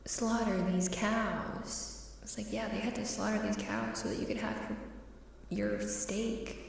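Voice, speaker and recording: A woman live streamer chatting casually in ASMR style, soft-spoken and often slipping into a whisper, musing and repeating her phrases. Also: medium pitch, fairly even intonation, a little slow, gravelly, distant mic. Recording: heavily echoing reverberant room sound, faint noise.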